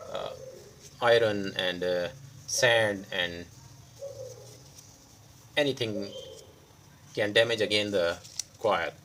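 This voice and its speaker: Casting: male repair tutor, non-native English speaker, lowish voice, very slow, halting phrasing, unscripted demo style. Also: a bit bright, a little hiss or hum. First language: not English. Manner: halting, pausing mid-sentence in short phrases. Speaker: electronics repair tutorial host. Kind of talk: unscripted hands-on repair demonstration.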